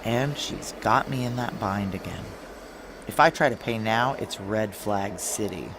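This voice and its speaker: An actor playing a determined, tense security chief, narrating a noir audio drama in a clipped, urgent, hard-boiled manner. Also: male voice, fairly low pitch, unhurried, background noise. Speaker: narrator and lead character.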